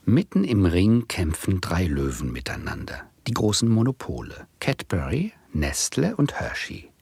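A man's voice: sits low, huge pitch swings, measured pace, a little mellow, husky, no room sound, exaggerated voice. A man playing a nervous, ironic amateur speculator who tells his own story in a German radio play. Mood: dry, ironic. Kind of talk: 1970s German radio play narration and dialogue.

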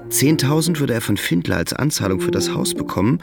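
An actor playing the sober third-person narrator of a radio drama, reading scripted storytelling. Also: male voice, medium pitch, expressive, a little fast, gravelly, loud music behind the voice. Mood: sober, narrating.

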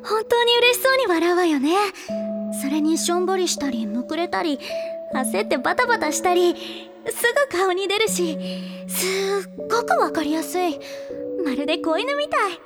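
A woman playing a delicate, sweet and quietly intense teenage girl in an audio drama, speaking softly and with careful phrasing. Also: very high voice, animated, normal speed, music in the background. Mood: fond, teasing. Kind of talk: Japanese audio drama acting.

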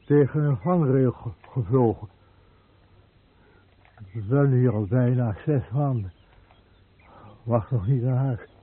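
An actor playing a lonely, badly burned crash patient who stammers in short, repeated fragments in a radio drama. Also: male voice, medium pitch, slow, pauses often, breathy edge. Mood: resigned. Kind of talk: Dutch radio drama acting. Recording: thin phone-like band, muffled radio recording.

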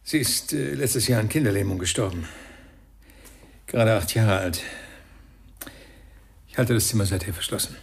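A man's voice: on the low side, expressive, normal speed, long pauses. An actor in a German radio crime drama playing an anxious, impatient father who speaks in curt, agitated questions. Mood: strained, subdued. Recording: clear background noise.

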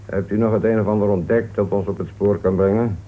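A narrow, hissy old radio recording carries a man playing a police inspector with a lowish voice. He is courteous and methodical, musing calmly as he works through a radio mystery. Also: fairly quick, close to an everyday voice. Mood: attentive. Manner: polite, musing, punctuated with small interjections. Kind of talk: Dutch radio play acting.

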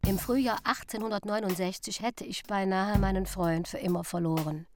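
A woman voicing a formal memoir narrator in a German radio play, measured and literary. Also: mid-range voice, steady tone, background noise, natural voice. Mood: reflective.

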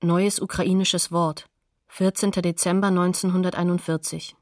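Radio docudrama: actress as neutral woman announcer, slightly low voice, factual, close dry sound. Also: little pitch movement, moderate pace, a little mellow, no echo, lightly acted. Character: neutral female announcer of sources and dates. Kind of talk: scripted source announcements.

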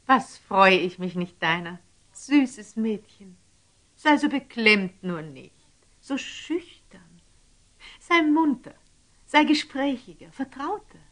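An actress playing a gracious, playful, sisterly princess in a classical radio drama, coaxing and expressive. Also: female voice, a little high, slow pace, heavily acted. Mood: affectionate, coaxing.

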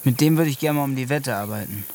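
A man's voice: mid-range voice, quick pace, darkish tone, close to an everyday voice. A man playing a taciturn, tough teenage village boy in a naturalistic German radio drama, answering bluntly in few words. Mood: guarded, gruff. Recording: outdoor ambience with crickets, background noise.

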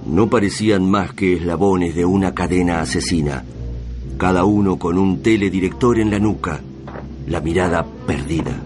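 Deep-voiced, solemn male radio drama narrator. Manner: solemn, measured, literary. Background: music.

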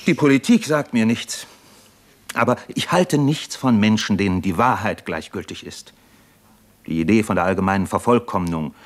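An actor playing a weary, disillusioned captive doctor in a German radio play, articulate and bitterly ironic. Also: male voice, lowish voice. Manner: articulate, bitterly ironic, impassioned in long speeches.

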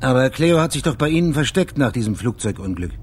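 An actor playing a dogged private investigator in a German radio crime drama, matter-of-fact and persistent, with a husky voice. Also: male voice, medium pitch, sing-song, quick pace, a little mellow.

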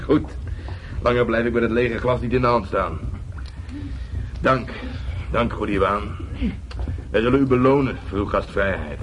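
Gracious leader of horsemen played by a man, lowish voice, formal toasts-and-thanks manner, noisy radio play. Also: normal speed, long pauses, natural voice. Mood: gracious, jovial. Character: courteous, confident male traveller, leader of horsemen. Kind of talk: Dutch radio drama acting.

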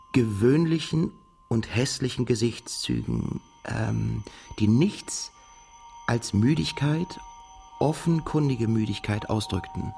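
An actor playing a brooding, haunted émigré narrator in a literary radio drama, speaking in a halting, self-correcting way. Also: male voice, medium pitch, creaky, darkish tone. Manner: halting, self-correcting while translating aloud from English. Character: brooding Russian émigré man, narrator haunted by a past shooting.

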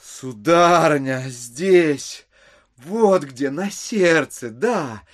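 An ingratiating gentleman schemer in a classic audio drama. His voice is on the high side and slow, declaiming with florid, unctuous courtesy. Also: expressive, no echo, exaggerated voice. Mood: courtly, flattering. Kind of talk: theatrical Russian classic audio drama acting.

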